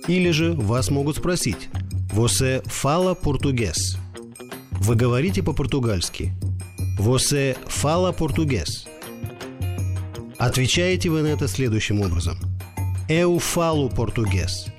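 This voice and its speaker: A man presenting a scripted language lesson, slowly and carefully pronouncing example phrases. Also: medium pitch. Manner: slow, careful enunciation of phrases. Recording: clear music bed under the voice.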